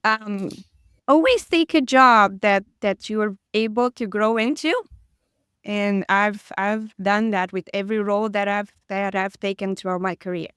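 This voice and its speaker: A woman's voice: mid-range voice, unhurried, a bit bright, clean tone, no echo, studio quality. A woman, a guest chatting casually in an interview, hesitant with frequent fillers and restarts. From Romania.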